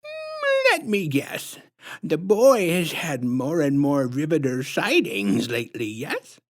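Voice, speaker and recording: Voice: mid-range voice, wildly swooping pitch, slow pace, pitched above the performer's own voice. A narrator voicing a huge, sinister female clown who talks in sickly sweet baby-talk rhymes. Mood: sickly sweet menace. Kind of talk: storybook reading.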